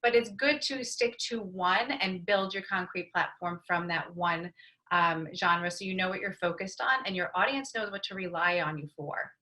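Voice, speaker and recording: Bright, forceful woman explaining strategy. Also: on the low side, moderate pace, low-quality recording. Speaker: brand strategist, panelist. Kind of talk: explanatory presentation. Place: video call.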